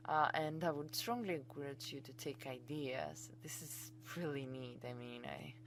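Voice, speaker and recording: A woman's voice: extremely low pitch, normal speed, a little hiss or hum. A woman with a Greek accent, a native Greek speaker, giving an enthusiastic, chatty screencast tutorial as a college instructor.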